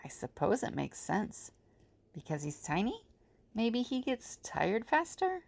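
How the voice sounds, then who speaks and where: A woman's voice: on the high side, wildly swooping pitch, unhurried, gravelly, no echo, full character voice. A woman playing a practical, serious, organized character in an audio drama. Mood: matter-of-fact.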